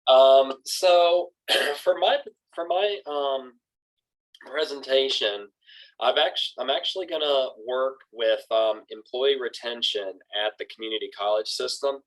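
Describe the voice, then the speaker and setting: A man's voice: medium pitch, measured pace, low-quality recording. A man presenting to his class over a video call, sounding nasal from a cold and speaking hesitantly with many ums. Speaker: student presenter.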